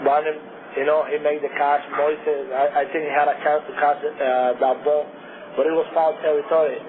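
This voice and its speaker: A young man from Cuba with a Cuban Spanish accent and Spanish as his first language, a baseball pitcher answering radio interview questions in halting speech with many restarts. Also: flat delivery. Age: young adult. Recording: tinny phone-like radio broadcast with noise.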